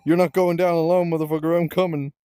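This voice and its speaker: A man's voice: a little high, flat delivery, fast talker, dark tone, clear, resonant tone, no room sound, clean studio sound. A man in casual gaming banter with friends, sweary and full of mock threats.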